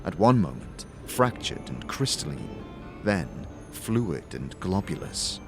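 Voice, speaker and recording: A man giving dramatic scripted second-person narration for a fantasy audio series, in a measured, theatrical storytelling manner. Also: low-pitched, very animated, gravelly. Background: music.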